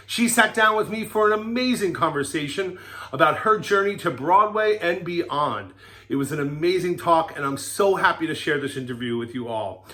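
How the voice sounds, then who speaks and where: A man's voice: high voice, bright, forceful tone. A middle-aged man hosting an interview show from home at a podcast microphone, jokey and self-deprecating. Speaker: host. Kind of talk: casual interview chat.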